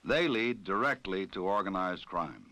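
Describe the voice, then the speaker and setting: Bright, forceful American male narrator. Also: sits low, animated. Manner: formal, measured, authoritative delivery. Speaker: narrator of a police training film. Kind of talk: scripted documentary narration.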